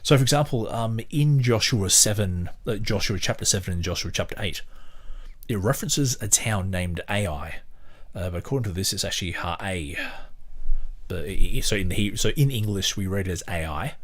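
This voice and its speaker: A man hosting a podcast, giving casual, rambling commentary on a book in a halting, sardonic manner. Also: fairly low pitch, animated. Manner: halting, sardonic, mock-surprised at times. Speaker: podcast host.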